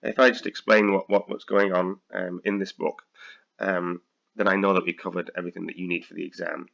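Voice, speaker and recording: A British man with a very low, gravelly voice that is a bit croaky today, talking students through a spreadsheet lesson. He hesitates often and restarts his sentences. The audio is dull and lo-fi, like a simple computer mic. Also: flat delivery, fairly quick, a bit bright. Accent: British English. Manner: hesitant, many ums and restarts. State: slightly croaky. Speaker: accounting tutor. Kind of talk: screen-recorded software tutorial.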